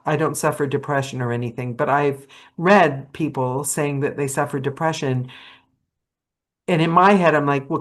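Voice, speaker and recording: Chatty woman hosting a podcast. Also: deep voice. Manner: chatty, animated, quick asides and self-corrections. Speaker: podcast host. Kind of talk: casual interview chat.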